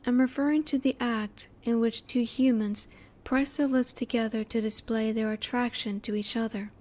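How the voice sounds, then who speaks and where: A woman's voice: on the high side, steady tone, moderate pace, darkish tone, lightly acted. A woman voicing a curious, polite alien in a roleplay audio drama, speaking formally and precisely with reserved emotion. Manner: formal, precise, stilted wording, politely inquisitive. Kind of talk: roleplay audio drama, one-sided dialogue to the listener.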